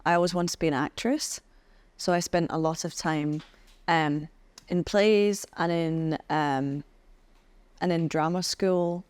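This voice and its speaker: A woman from the UK talks through her career in a relaxed interview, pausing often and restarting her sentences. Her voice is smooth and clear, sitting a little low, and the recording is close and dry. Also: no room sound. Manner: thoughtful, hesitant with many ums and restarts. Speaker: podcast guest, head of communications. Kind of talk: casual interview chat.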